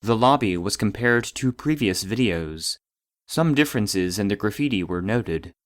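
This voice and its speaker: An actor playing a formal database voice, reading clinical case logs in a detached, precise manner. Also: male voice, fairly low pitch, animated, moderate pace, natural voice. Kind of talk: scripted narration of clinical reports.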